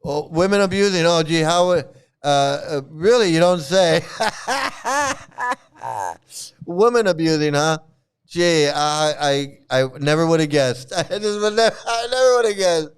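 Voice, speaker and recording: Brief: sarcastic male commentator, repetitive and mocking, fairly high, very clear voice. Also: lively intonation, unhurried. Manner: sarcastic, laughs often, repeats himself. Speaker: YouTube commentator. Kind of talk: mocking rant over video clips.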